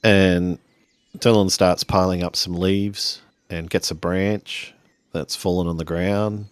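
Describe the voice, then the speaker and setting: A man playing a tabletop roleplaying game, narrating his character's actions haltingly as he searches for words. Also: low-pitched, level tone, smooth.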